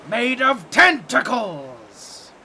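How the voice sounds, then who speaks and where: A man's voice: very high voice, sing-song, drawn-out words, bright, forceful tone, exaggerated voice. Squawky, boastful octopus merman. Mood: smug bragging. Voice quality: grating, squawky, nasal. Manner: theatrical, pompous, fumbling with awkward asides. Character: boastful, scheming, awkward talking octopus merman. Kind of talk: comedic audio roleplay addressed to listener.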